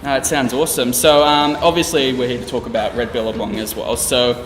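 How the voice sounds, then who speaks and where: A man's voice: medium pitch, fairly even intonation, brisk, echoey room, in a large hall, crowd noise, low-quality recording. A young man hosting a casual interview for his channel, rambling with lots of fillers and restarts.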